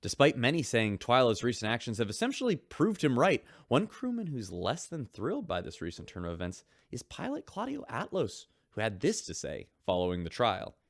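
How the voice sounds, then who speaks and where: A man's voice: medium pitch, huge pitch swings, moderate pace, hardly any pauses, no room sound, studio quality. A man voicing a chatty, wry radio news host aboard a starship, reading scripted reports.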